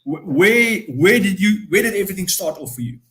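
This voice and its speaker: A man's voice: sits high, lively intonation, a little fast, a bit bright. Easygoing man hosting. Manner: easygoing, laughs and restarts sentences. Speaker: podcast host. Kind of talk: casual interview chat.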